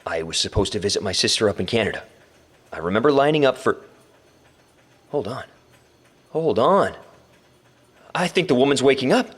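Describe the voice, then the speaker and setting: A man playing a frightened but wry kidnap victim in an audio drama, recording halting messages for help. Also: medium pitch, animated, slightly rough. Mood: tense, relieved. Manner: halting, self-correcting, wry.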